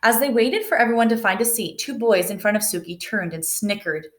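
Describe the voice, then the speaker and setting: Woman gently reading a picture book. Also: mid-range voice, animated, brisk. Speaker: storybook reader. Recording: echoey room.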